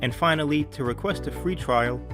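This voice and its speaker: A man presenting a scripted software tutorial, explaining in a clear, orderly way. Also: on the high side, normal speed, music in the background. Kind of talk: scripted instructional narration.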